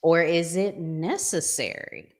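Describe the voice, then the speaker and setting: A woman hosting a casual relationship podcast, speaking in colloquial, deliberate phrases. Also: low-pitched. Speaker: podcast host.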